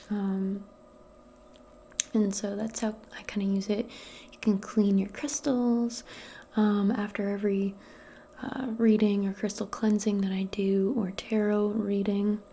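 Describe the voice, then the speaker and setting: Female, slow soft-spoken ASMR role play, breathy half-whisper, gentle casual personal attention, audible background hiss. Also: mid-range voice, level tone. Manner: gentle, casual, hesitant chatter aimed closely at the listener. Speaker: ASMR performer role-playing as a modern witch.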